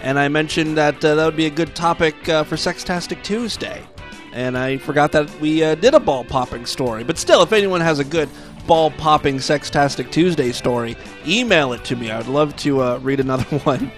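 A man's voice: on the high side, fairly quick, soft background music. Mocking, crude comedy podcast host man. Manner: crude, mocking, laughs at own jokes. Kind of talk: comedic news and voicemail show.

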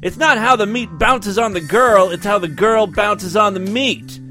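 A man's voice: high voice, unhurried, sharp tone, faint music behind, voice pushed up. A man voicing a brash, scornful, domineering woman who barks tart, impatient commands in a comic story reading. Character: brash, scornful, domineering middle-aged woman, sexually aggressive.

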